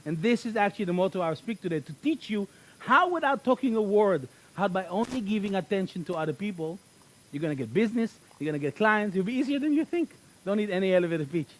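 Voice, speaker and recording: Middle-aged Israeli-born speaker, high voice, punchy and repetitive, workshop presenter. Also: male voice, quick pace, rough recording. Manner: animated, emphatic, repeats key phrases. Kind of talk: motivational workshop talk.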